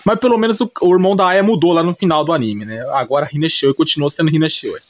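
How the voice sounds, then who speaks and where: A Brazilian man with a slightly high voice recaps an anime story in a casual, unscripted way. He rambles along in slangy speech and often corrects himself as he goes. It sounds like an informal storyteller talking off the top of his head. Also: fast.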